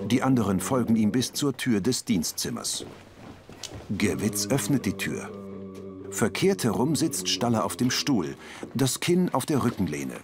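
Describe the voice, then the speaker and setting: A man's voice: fairly low pitch, very animated, unhurried, music in the background. A man reading scripted audio description, narrating scenes in the present tense.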